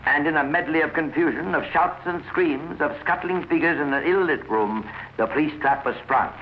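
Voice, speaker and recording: A man giving measured, wry scripted narration for a 1950s radio crime drama, muffled and hissy. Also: on the high side.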